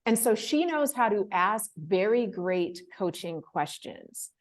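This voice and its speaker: Animated, laughing woman telling her story. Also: mid-range voice, slow pace. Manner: animated, laughs often, acts out quoted voices. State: emotional, close to tears. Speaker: interview guest, fellowship winner. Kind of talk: casual interview storytelling.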